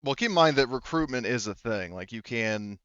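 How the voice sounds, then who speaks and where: A man with a smooth, fairly low voice runs a tabletop roleplaying game. He explains things casually and haltingly, and the recording is dry and slightly dull. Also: animated, fairly bright tone, no room sound. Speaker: game master.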